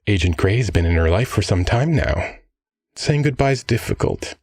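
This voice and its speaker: A gravelly crime-boss father, played by a man, speaks close and dry. He dotes breezily on his family and threatens just as casually, in the manner of an ASMR audio drama. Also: on the low side, expressive, moderate pace, darkish tone, dry recording. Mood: calmly menacing. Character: rich, affable, menacing crime-boss single dad of vampires.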